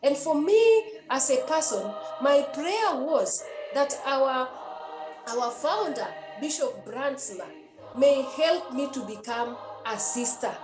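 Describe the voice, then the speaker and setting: Nun, high voice, earnest and repetitive preaching to youth; roomy echo, faint music underneath. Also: female voice. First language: a Kenyan language. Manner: earnest and repetitive, with many hesitations. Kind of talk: exhortation to young people.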